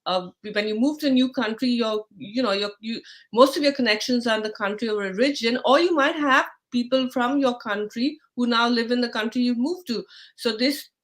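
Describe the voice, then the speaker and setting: A woman's voice: on the high side, normal speed. A middle-aged woman from India with an Indian accent, hosting a live online interview in a chatty way, full of fillers and restarts. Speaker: host.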